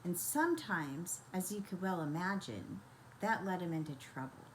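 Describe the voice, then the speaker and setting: An older woman storyteller reading a children's bedtime story in a gentle, expressive, grandmotherly manner. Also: on the low side, moderate pace, slight background noise, low-quality recording.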